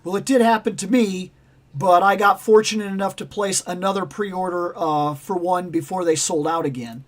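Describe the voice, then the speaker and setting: A man hosting a toy livestream in casual, rambling chat, with a voice going raspy and hoarse. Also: high voice, normal speed. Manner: rambling, animated, self-interrupting. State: raspy, losing his voice. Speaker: toy channel livestream host.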